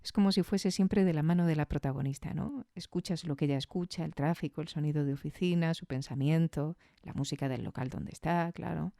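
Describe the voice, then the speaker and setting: A woman's voice: low voice, fairly quick, gravelly, hardly any pauses, mellow, no room sound, clean studio sound. A woman with an accent from Spain hosting a podcast, giving a casual, reflective spoken review with conversational tag questions.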